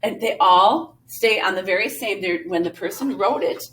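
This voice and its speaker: A woman's voice: mid-range voice, moderate pace, fairly bright tone, slight background noise, low-quality recording. A woman music teacher giving a recorded lesson to young children, explaining patiently step by step. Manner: sings short phrases to show melody.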